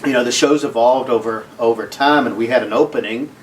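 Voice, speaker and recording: A man, a radio co-host and program director, telling a story in a relaxed, self-deprecating way. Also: mid-range voice, brisk, recorded from a distance, slight background noise, rough recording. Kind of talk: casual interview chat.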